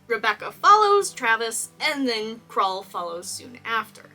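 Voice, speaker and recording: A woman's voice: a little high, sing-song, unhurried, bright, forceful tone, faint music behind. A young woman from Texas, a cosplayer, chatting enthusiastically through a casual movie costume analysis and laughing often. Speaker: cosplayer and video host.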